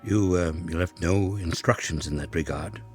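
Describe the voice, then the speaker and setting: An actor playing a meek, deferential household servant in a radio drama, speaking formally and haltingly. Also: male voice, low-pitched, slightly rough, soft background music. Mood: dutiful, hesitant.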